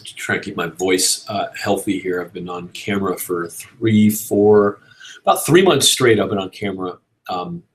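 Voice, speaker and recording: A man with a slightly raspy, tired voice, answering audience questions in a casual live Q&A about online business. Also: low voice, normal speed, low-quality recording. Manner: casual, energetic business coaching with frequent "you know" and "right?". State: slightly hoarse from long filming.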